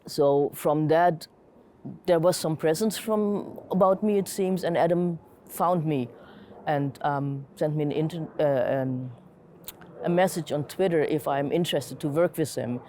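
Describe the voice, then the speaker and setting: A woman's voice: low voice, warm tone. A middle-aged woman from Germany, telling personal stories in a casual, reflective interview style. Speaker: interviewee, comic artist and curator.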